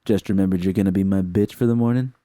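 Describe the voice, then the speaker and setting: A man reading a fan story voices a sly, teasing young woman mercenary, raising his pitch for her. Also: steady tone, fast talker, dark tone, dry recording, lightly acted.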